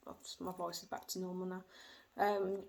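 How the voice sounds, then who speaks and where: A woman doing a tarot reading from home speaks with a gravelly, fry-edged voice. Her pitch barely moves, so she sounds level and even throughout. She hedges and repeats herself, going by feeling, while faint noise from her children sits behind her. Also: moderate pace. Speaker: tarot reader. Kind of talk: tarot card reading for viewers. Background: faint noise of her young children.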